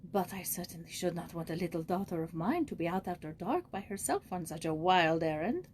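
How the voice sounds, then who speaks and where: A woman's voice: lowish voice, animated, brisk, a little mellow, a little hiss or hum, lightly acted. Woman playing a proper, kindly old madame. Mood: gently disapproving.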